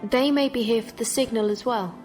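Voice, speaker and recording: Formal female space traveller, played by an actress, fairly high voice, sci-fi audio drama, loud music underneath. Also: a little fast, close to an everyday voice. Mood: alarmed. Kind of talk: science fiction audio drama acting.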